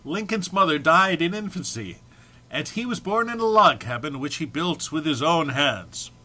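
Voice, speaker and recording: A man's voice: on the high side, sing-song, normal speed, sharp tone. A man giving an amateur, theatrical dramatic reading of a humorous text, prone to amusement and stumbles. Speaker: amateur reader.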